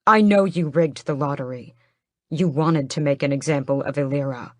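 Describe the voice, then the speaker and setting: A woman voicing a defiant young male vampire prince who yells and growls accusations. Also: sits low, moderate pace, no echo, clean studio sound. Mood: accusing. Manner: forceful, yelling, growling threats.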